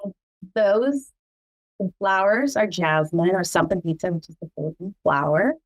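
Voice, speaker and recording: A woman's voice: lowish voice, normal speed. Chatty middle-aged woman, Cebuano native. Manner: warm, proud and chatty, laughs often. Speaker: podcast host. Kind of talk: casual family chat.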